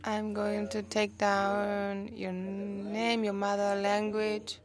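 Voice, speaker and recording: Woman interpreting live, slow and deliberate, fixing words as she goes. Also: medium pitch, monotone. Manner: rephrasing on the fly with small restarts.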